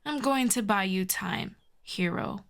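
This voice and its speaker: Slow, haughty, teasing villainess played by a woman. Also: medium pitch, creaky. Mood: smug, fond. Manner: haughty, teasing, slow deliberate delivery with soft whispers. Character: proud telepathic villainess, secretly fond of a hero. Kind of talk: intimate ASMR audio roleplay.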